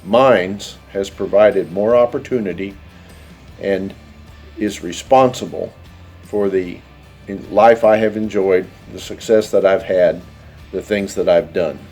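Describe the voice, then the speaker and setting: A man giving a measured testimonial as an alumnus. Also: sits low, fairly even intonation, darkish tone, faint music behind.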